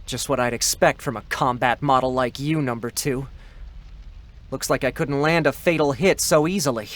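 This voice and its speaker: A man's voice: medium pitch, normal speed, lightly acted. An actor playing a boyish male android with a mocking, bitter edge in a dramatic game story reading.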